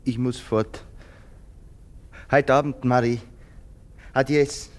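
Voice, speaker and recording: An actor playing a poor, brooding soldier in a German radio play, humble, haunted and halting in his speech. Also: mid-range voice, steady tone, lightly acted. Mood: weary, resigned. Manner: halting, earnest, deferential.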